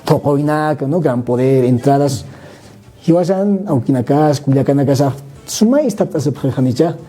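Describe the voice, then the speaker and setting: Dark husky man thinking aloud. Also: a little high, moderate pace, soft background music. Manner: thinking aloud, many restarts and "¿no?" tags. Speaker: guest speaker. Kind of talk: conversational explanation, mixing Spanish and Aymara.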